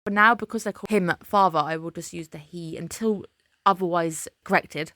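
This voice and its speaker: A woman's voice: on the low side, moderate pace, sharp tone, no room sound, studio quality. A woman, a little under the weather, chatting casually and opinionatedly through an anime episode breakdown as the channel's host. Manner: opinionated, chatty, emphatic dislikes. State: slightly ill, under the weather.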